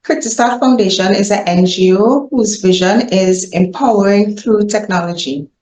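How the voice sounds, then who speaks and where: A woman's voice: mid-range voice, moderate pace, dark tone, echoey room, rough recording. A woman host giving an enthusiastic, formal scripted introduction. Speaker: host of the foundation series.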